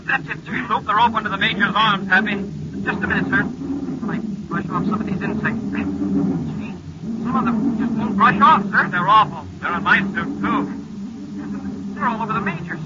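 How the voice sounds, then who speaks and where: An actor playing a panicky young space cadet. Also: male voice, high voice, moderate pace, lightly acted. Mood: alarmed. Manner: anxious, deferential, calls everyone sir. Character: eager young male space cadet sidekick. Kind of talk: 1950s radio drama acting. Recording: muffled, hissy old radio transcription.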